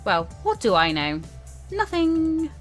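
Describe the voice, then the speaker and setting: A woman's voice: high voice, wildly swooping pitch, slow. A woman hosting a casual let's-play, reading game text aloud with informal asides and stumbles over the written words. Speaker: gaming video host. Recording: music behind the voice.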